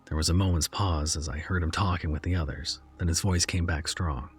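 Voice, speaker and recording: A man narrating a first-person horror story in a measured, somber way. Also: sits low, fairly even intonation, soft background music.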